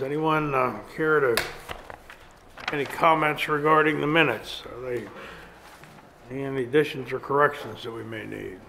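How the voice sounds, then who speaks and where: Mayor type, gravelly from a cold, bright and forceful, calls business in order, light hiss. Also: male voice, a little high. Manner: plain, procedural, brief asides. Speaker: mayor chairing a council meeting. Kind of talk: formal meeting chairing.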